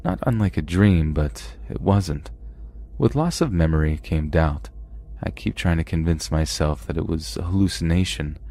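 A man hosting a podcast, calmly reading submitted scary stories aloud in a measured first-person narration. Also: a bass voice. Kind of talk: scripted horror story narration.

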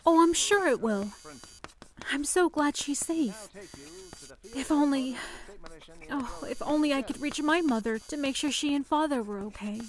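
A woman playing a polite, anxious young telephone operator in an old-fashioned radio drama, with courteous, soft-spoken reassurance. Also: high-pitched, measured pace, long pauses, rough recording. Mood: anxious, hopeful. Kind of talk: 1940s radio drama acting.

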